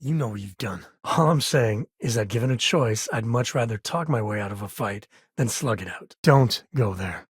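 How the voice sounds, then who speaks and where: A man voicing a rugged, wry, warm-hearted space ranger in a video game, with a folksy, conversational way of talking. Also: medium pitch, lively intonation, normal speed, hardly any pauses, breathy edge, no echo, studio quality. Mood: earnest. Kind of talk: video game character dialogue.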